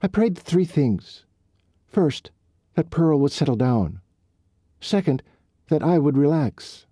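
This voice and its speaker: A heated, sarcastic young rodeo woman with a lilting foreign accent, as a male narrator puts her on. Her voice rides well above his usual low register, lively and pushed, set close and dry with no room sound. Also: takes it slowly, dry recording, full character voice.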